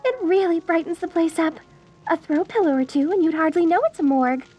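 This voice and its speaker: A deranged, lovesick mortician bride in a dark comedy audio drama. Her voice sits extremely high, with music playing behind it. She gushes and coos in a genteel, theatrical way, sweet on the surface and menacing beneath. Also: a little fast. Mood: cheerfully menacing. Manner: theatrical, cooing, genteel and gushing with endearments. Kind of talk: dark comedy audio roleplay, addressed to the listener.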